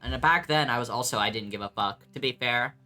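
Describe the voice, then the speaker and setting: Young man, light mid-range voice with some bass, loose and blunt reaction-vlog delivery with lots of ums, music playing behind. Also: a little fast, sharp tone. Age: young adult. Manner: chatty, blunt and self-mocking, swears freely, many ums. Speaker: vlogger reacting to his old videos. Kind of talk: casual reaction commentary.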